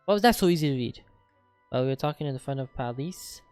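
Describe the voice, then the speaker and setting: A man's voice: medium pitch, expressive, quick pace, darkish tone, clear, resonant tone, dry recording, soft background music. A man giving casual let's-play reactions, blunt, slangy and joking. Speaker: gaming video creator.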